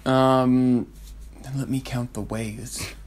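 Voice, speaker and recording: A man's voice: mid-range voice, little pitch movement, drawn-out words, a little mellow. A young man in a small bedroom, answering questions about his fiancée in a joking, easygoing way, picked up by a camera on a tripod. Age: young adult. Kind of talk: casual couple chat.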